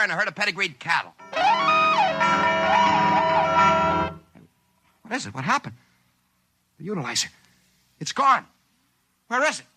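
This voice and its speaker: An actor playing a brash, greedy, excitable man in a 1950s radio drama, speaking colloquially in an exclamatory, indignant way. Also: high-pitched, exaggerated voice. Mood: frantic. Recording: old 1950s radio recording with music.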